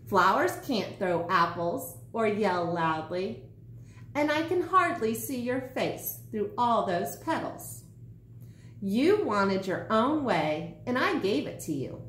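A woman's voice: medium pitch, very animated, slow pace, a bit bright, echoey room. A woman voicing a chirpy, instructive male magic gnome from a children's storybook. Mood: scolding. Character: male magic gnome, chirpy, matter-of-fact, a little sly.

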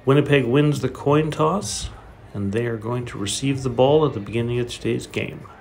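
A man's voice: medium pitch, normal speed, vocal fry. A middle-aged man giving casual vlog narration and commentary on a sports outing. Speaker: vlogger. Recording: loud music and crowd noise behind. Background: loud music, stadium crowd.

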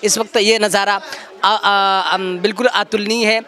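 A man's voice: high voice, flat delivery, pushed and bright. A man speaking in flowery phrases that trip over themselves. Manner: rapid flowery phrasing with stumbles. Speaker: newsroom colleague interviewed.

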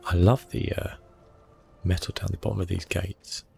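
A man giving relaxed ASMR commentary on a game, understated and hesitant as he thinks aloud close to the microphone. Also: low-pitched, normal speed, gravelly, faint music behind. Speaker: gaming video host.

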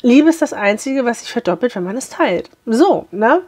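A woman in her thirties with a hoarse voice, chatting cheerfully to viewers as she unboxes a beauty box in a small room. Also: fairly high pitch, lively intonation, moderate pace, a little mellow.

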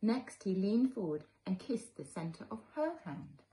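A British woman in her thirties or forties, a teacher gently reading a children's storybook aloud at home. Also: medium pitch, sing-song, measured pace, poor audio. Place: living room at home.

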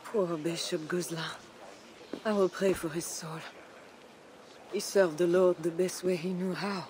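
An actress playing a devout, composed noblewoman who pleads calmly and earnestly. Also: on the low side, measured pace, slight background noise, close to an everyday voice. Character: devout, composed noblewoman, young adult, gentle. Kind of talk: video game cutscene acting.

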